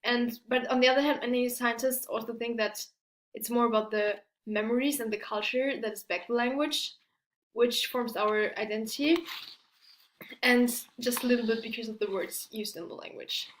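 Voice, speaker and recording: Girl student doing a class presentation, kinda high voice, trips over words, echoey room. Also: fairly even intonation. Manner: halting, stumbling over words. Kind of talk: prepared academic presentation.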